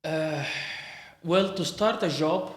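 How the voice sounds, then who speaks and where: A man's voice: on the high side, takes it slowly. An Egyptian man with an Egyptian Arabic accent, a native Arabic speaker hosting a podcast in casual, sociable conversation. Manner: chatty and sociable, laughs easily. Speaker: podcast host. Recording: echoey room.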